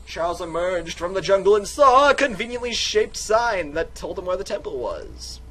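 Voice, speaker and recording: A man's voice: high voice, sing-song, moderate pace, a bit bright. A man doing a playful dramatic reading of a text game for viewers, rambling and interrupting himself with goofy, theatrical asides. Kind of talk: playful dramatic reading with sung bits and ad-libbed commentary. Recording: music behind the voice. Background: music.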